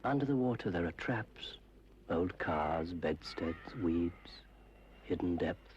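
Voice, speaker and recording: An actor playing a malevolent water spirit, sinister and patient, narrating a 1970s public information film in a slow, ominous way. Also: male voice, expressive, highs cut off. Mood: menacing. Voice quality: low gravelly voice. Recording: noisy old 1970s film soundtrack.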